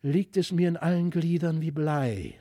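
A weary country gentleman from a storybook, acted out by a male reader. He is a wistful, kindly father with a soft-edged, mellow voice. His delivery is gentle and halting, heard close and dry. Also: on the high side, animated, no room sound, voice pushed up. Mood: weary, uneasy.

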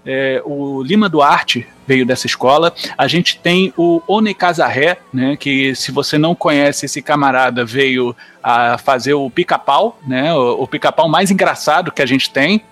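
A man's voice: mid-range voice, a little slow, few pauses, fairly bright tone. A Brazilian man in a casual podcast discussion, talking in an enthusiastic, rambling way.

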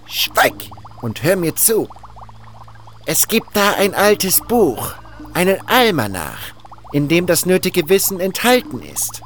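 An actor playing a haughty, fanatical cult guru and alchemist in an audio drama, hissing and drawing out words with contempt. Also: male voice, high-pitched, big pitch swings, slow, a bit bright, full character voice. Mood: impatient, condescending.

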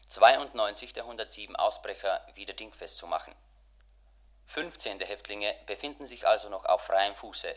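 A man playing a formal radio news announcer, reading in a measured, deadpan 1950s style inside a radio play. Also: fairly low pitch, natural voice.

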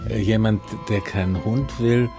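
An actor playing a hesitant, good-natured older man from Hamburg on a date, halting and self-deprecating, in a naturalistic radio drama. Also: lowish voice, steady tone, music in the background. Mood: hesitant, easygoing. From Hamburg, Germany.

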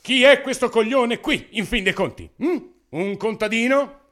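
An actor playing a crude, excitable, needy henchman in a theatrical radio drama, with an insistent, repetitive way of talking. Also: extremely high pitch, normal speed. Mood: contemptuous. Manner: excitable, repeats phrases insistently. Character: foul-mouthed male henchman, excitable, crude, needy sidekick.

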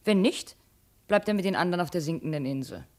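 A woman's voice: lowish voice, animated, fast talker, a little mellow, natural voice. An actress playing a self-assured, scheming woman with a haughty, dramatic manner in 1950s radio drama style. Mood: coldly confident.

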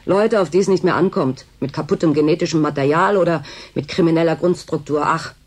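A blunt, disillusioned station worker. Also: female voice, deep voice, brisk. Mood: bitter, resigned. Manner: curt, sardonic. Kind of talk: German radio drama acting.

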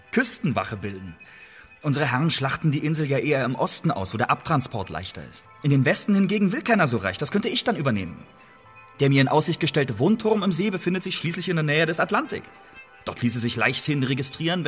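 An imprisoned English secret agent and writer in a radio drama. He is mid-pitched, persuasive and loyal, choosing his formal words with deliberate care. Music runs behind the voice. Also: fairly bright tone. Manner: measured, formal, deliberate phrasing. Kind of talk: German radio play acting.

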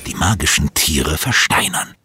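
A man narrating a scripted audio drama with expressive storytelling. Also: extremely low pitch, a little slow, breathy edge, no room sound, studio quality.

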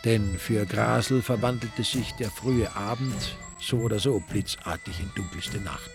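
An actor narrating a radio crime drama in a dry, ironic, literary way. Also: male voice, fairly low pitch, unhurried, gravelly. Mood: dry, wry. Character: wry male narrator of a village crime story. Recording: music behind the voice.

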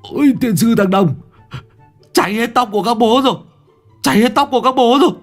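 A man's voice: very high voice, soft background music, pitched above the performer's own voice. A man voicing an old workman who shrieks and curses about his burnt hair and ruined dinner. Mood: shrieking. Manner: shrieking, fast cursing. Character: scorched, cursing old workman.

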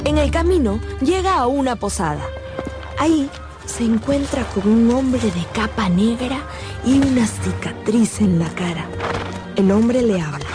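Animated female storyteller over prominent music. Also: fairly high pitch, unhurried. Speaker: narrator of a radio drama. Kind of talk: scripted dramatic narration.

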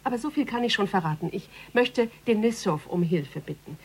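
An actress playing an evasive, stubborn police analyst in a radio crime drama, hesitant yet cheekily defiant. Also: female voice, medium pitch, animated, brisk, background noise, natural voice. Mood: stubborn, teasing. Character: evasive, stubborn female police analyst facing her boss. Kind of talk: German radio play acting.

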